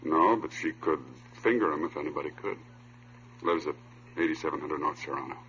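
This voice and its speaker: A streetwise informant with an extremely deep voice in an old radio crime drama. He talks in terse, offhand slang on a muffled recording with faint hiss. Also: brisk, fairly bright tone. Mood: guarded, offhand. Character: laconic, streetwise male underworld informant.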